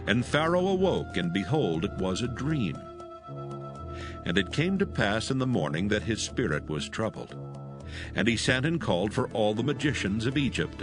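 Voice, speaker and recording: A man narrating scripture as a dramatized reading, in a solemn and formal manner. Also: fairly low pitch. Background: soothing background music.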